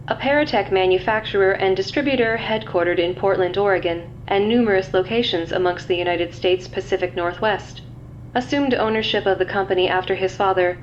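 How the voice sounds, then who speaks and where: Woman as a female computer system, audio drama, impersonal file read-out, medium pitch, faint background noise. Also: highs cut off, close to an everyday voice. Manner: impersonal, formal, clipped announcements. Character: official computer system reading intelligence files aloud, female. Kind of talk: scripted dossier read-out.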